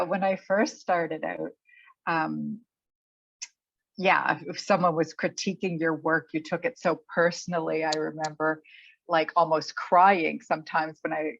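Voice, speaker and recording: A middle-aged woman chatting casually as an interviewed author, thinking aloud in a halting way. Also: deep voice, vocal fry, dry recording. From Canada. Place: remote online call. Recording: dull, muffled lo-fi audio.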